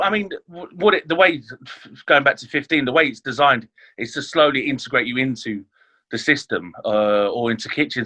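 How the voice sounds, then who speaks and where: A man's voice: mid-range voice, bright, forceful tone, muffled recording. A man, chatting about his career as a chef in an interview, with many fillers and restarts. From born in Leeds, grew up in London. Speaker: chef, podcast guest. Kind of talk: casual interview chat.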